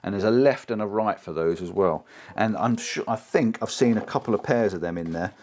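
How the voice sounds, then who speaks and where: A man's voice: fairly low pitch, fairly quick, a little mellow, slight background noise. Chatty man unboxing gear. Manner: chatty, repeats himself, enthusiastic. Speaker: gear reviewer. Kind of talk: casual unboxing talk.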